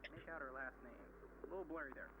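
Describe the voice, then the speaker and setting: A man's voice: a little high, racing, very noisy, lightly acted. A man playing a teasing, chirpy host of a children's riddle show. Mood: teasing, upbeat.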